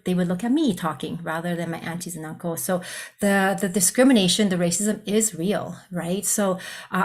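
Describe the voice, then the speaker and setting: Earnest lower-voiced woman on a video call. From Vietnam. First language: Cantonese. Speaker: psychologist and counselor educator. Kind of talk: virtual presentation, personal story.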